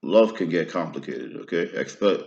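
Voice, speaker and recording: A man's voice: low voice, expressive, normal speed, creaky, darkish tone. A man in his thirties with an American accent, talking in a meandering personal monologue and often checking in with "right?". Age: 30s. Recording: roomy, dull lo-fi recording.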